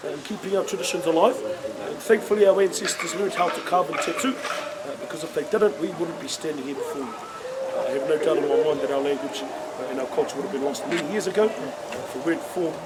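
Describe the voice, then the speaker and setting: A man's voice: on the high side, brisk. A man explaining traditions to a tour group, with frequent "uh"s between phrases. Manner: talkative, lots of "uh"s. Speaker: cultural village guide. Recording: loud background noise.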